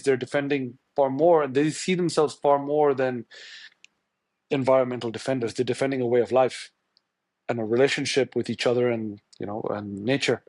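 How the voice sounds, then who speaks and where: A man's voice: medium pitch, darkish tone, no room sound. A man from India whose first language is Tamil, a journalist answering interview questions in a thoughtful, searching way with many restarts. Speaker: journalist guest.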